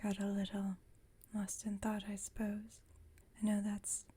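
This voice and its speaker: Woman as a slow, whispering, calm vampire roommate. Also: medium pitch. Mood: gentle, teasing. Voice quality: soft, breathy whisper. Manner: unhurried, gentle, slightly formal phrasing. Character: calm, genteel, dryly witty female vampire roommate, centuries old. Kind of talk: whispered ASMR roleplay.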